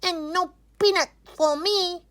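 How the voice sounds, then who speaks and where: A woman's voice: very high voice, fairly even intonation, slow pace, fairly bright tone, no echo, pitched above the performer's own voice, exaggerated voice. A woman voicing a cheerful, eager little squirrel in a children's storybook read-aloud. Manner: chirpy, eager. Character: cheerful little chirping squirrel who loves trips.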